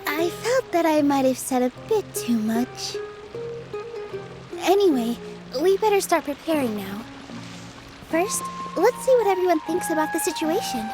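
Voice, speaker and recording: A high, girlish voice for a gentle young performer in a video game. She speaks earnestly and politely, encouraging others with modest determination. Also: very animated, a little slow, long pauses, music in the background.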